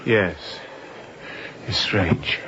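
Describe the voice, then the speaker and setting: An actor playing an exhausted, intense, unrepentant fugitive chief mate in a radio drama, speaking in clipped, grim sentences. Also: male voice, low-pitched, extremely slow, muffled recording, background noise. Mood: grim, confessional. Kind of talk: radio drama acting, confessional storytelling.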